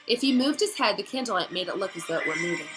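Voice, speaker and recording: A woman narrating a novel aloud in an expressive, dramatic storytelling style that builds suspense. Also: mid-range voice, quick pace, over music.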